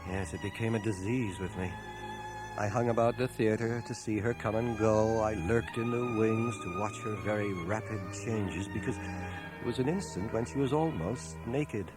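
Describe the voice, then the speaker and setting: A man's voice: lowish voice, moderate pace, over music, lightly acted. An actor playing a troubled, lovestruck man of fifty, narrating his confession in a literate, earnest way for a radio drama. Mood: lovelorn anguish. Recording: 1980 radio drama on home cassette transfer.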